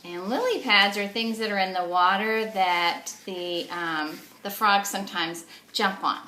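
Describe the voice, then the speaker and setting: Unhurried woman teacher in an echoey room. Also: mid-range voice, pushed and bright, a little hiss or hum. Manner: simple, explanatory, questioning address to small children. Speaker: children's teacher reading stories. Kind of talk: animated storybook read-aloud for young children.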